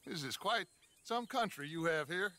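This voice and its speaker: An actor playing a charismatic middle-aged American outlaw gang leader, speaking in a persuasive, theatrical, grandiloquent way in a western game. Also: male voice, fairly high pitch, expressive, normal speed, bright, forceful tone. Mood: charming, smooth. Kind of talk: dramatic western game cutscene acting.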